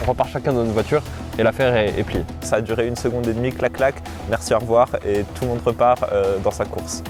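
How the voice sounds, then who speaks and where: A young male contestant talks quickly through what happened in the race, brisk and matter-of-fact. His voice is mid-pitched, and music plays clearly behind him. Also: steady tone. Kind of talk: casual interview commentary.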